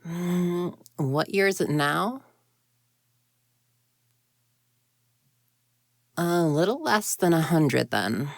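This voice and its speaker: A woman plays a proud, secretly lonely young ghost woman in an audio roleplay. Her voice sits low and she takes her time over her words. She is huffy and indignant, stammering when flustered, and the sound is close and dry. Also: vocal fry, no echo, heavily acted. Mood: coy, defensive. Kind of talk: intimate roleplay audio drama, one side of a conversation.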